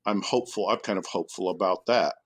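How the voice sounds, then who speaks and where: A man's voice: deep voice, fast talker, breathy edge. A man chatting as a guest in a casual panel discussion, hesitant as he thinks his points through aloud. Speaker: podcast guest, academic.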